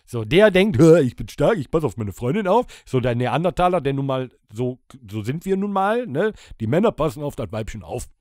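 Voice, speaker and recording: Animated male podcaster, dry close sound. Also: medium pitch, brisk, few pauses, no echo. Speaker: podcast co-host and band musician. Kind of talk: casual chat, bantering. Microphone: close studio podcast microphone.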